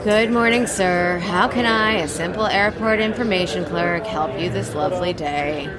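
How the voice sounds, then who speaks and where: A woman's voice: on the low side, moderate pace, loud music behind the voice, natural voice. A woman acting as a chirpy, exaggeratedly polite airport information clerk in a comic audio drama. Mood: chirpy, helpful. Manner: exaggeratedly polite, sing-song service voice.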